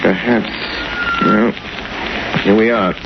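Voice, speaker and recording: A man acting a suave, wealthy gentleman crime-fighter in an urbane, musing manner, in 1930s radio drama style. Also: on the low side, fairly even intonation, slow, noisy background. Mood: urbane, relaxed. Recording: dull old radio recording with loud music.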